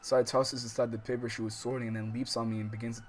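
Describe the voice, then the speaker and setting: A man's voice: medium pitch, little pitch movement, quick pace, heavy background noise. An American man hosting a casual let's-play livestream, reading story text aloud with stumbles and reacting in slangy, profane talk. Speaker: livestream host.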